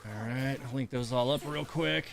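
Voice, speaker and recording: A man's voice: mid-range voice, normal speed, gravelly, a bit bright, a little hiss or hum. A man streaming a game, giving casual live commentary with muttered, mildly exasperated asides to himself.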